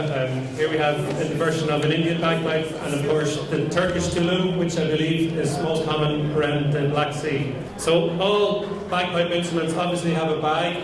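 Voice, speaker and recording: Irish guy giving a talk, kinda high voice, super echoey hall, mic far away, crowd murmur. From Ireland. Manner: relaxed, light humour, frequent ums and restarts. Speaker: lecturer and pipe maker. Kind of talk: informal lecture to an audience. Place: large lecture hall with audience.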